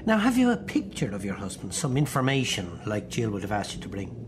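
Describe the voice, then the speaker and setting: A man performing an Irish private detective for a radio play. He is brisk but reassuring, with an expressive, swinging pitch. The recording has clear noise behind it. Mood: calm, reassuring. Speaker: actor.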